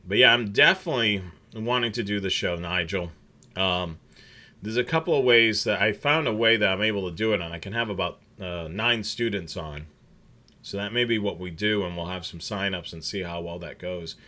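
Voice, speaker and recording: A man's voice: on the low side, moderate pace, pushed and bright. A man hosting a casual livestream chat, rambling with many fillers and restarts. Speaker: scanner show host.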